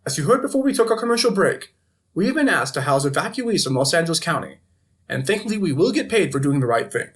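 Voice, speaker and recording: A man's voice: fairly high pitch, big pitch swings, fast talker. A man playing a flippant TV news anchor in an audio drama, reading reports with wry, editorializing asides. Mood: wry, steady. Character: flippant male TV evening news anchor covering a zombie outbreak.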